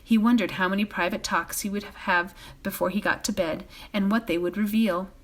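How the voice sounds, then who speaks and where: A woman reading a bedtime fantasy novel aloud as a volunteer, in an expressive storytelling style. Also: mid-range voice, normal speed.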